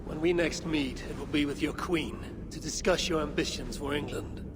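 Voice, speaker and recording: An actor playing a stoic, blunt Norse warrior envoy in a video game cutscene, speaking in a measured, terse, coolly defiant way. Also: male voice, on the high side, background noise, lightly acted. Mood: terse, resolute. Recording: loud dramatic music underneath. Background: game score music.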